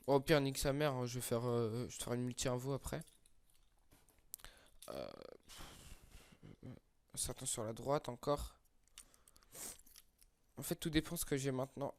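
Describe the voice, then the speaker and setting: A man's voice: a little high, clean tone, dry recording. A young man with a slight head cold, casually commenting on game summons with lots of fillers, hesitations and crude slang. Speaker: gaming YouTuber.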